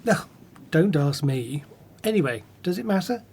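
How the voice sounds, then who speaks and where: A man's voice: fairly high pitch, big pitch swings, normal speed, darkish tone, slightly rough, full character voice. A man playing a devoted, guileless disciple in a radio drama, with a plain, questioning way of talking. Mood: sincere.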